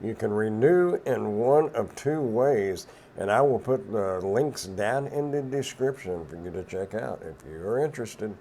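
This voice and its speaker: A man's voice: on the low side, expressive, a little slow, few pauses, mellow, a little hiss or hum. An older man reviewing a product hands-on in a casual, plain-spoken way, explaining things step by step.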